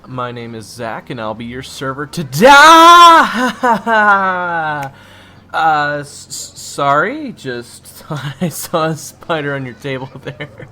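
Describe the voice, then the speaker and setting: A man's voice: fairly high pitch, pushed and bright, full character voice. A man playing a flustered, stammering young waiter in an audio roleplay, who secretly hunts monsters and swings between chummy chatter and sweary irritation. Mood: flustered, falsely cheerful. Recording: clearly noisy background.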